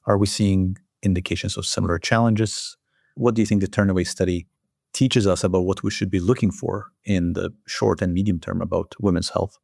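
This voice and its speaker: A man's voice: low voice, creaky, darkish tone, no echo, studio quality. A man hosting a formal research interview, putting structured questions to a guest. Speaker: interviewer, journal editor host.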